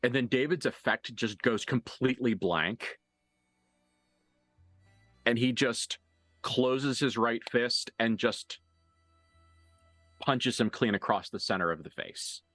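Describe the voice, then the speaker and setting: A man improvising narration as a game master for a horror tabletop game, conversational and halting, with graphic detail. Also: mid-range voice, fairly bright tone, no echo, soft background music.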